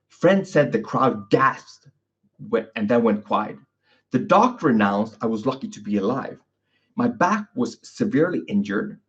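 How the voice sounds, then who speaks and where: A man hosting his own podcast, talking casually and often tagging his lines with "okay?" and "you know". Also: medium pitch. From Sweden. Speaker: podcast host, physiotherapist. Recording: dull lo-fi recording.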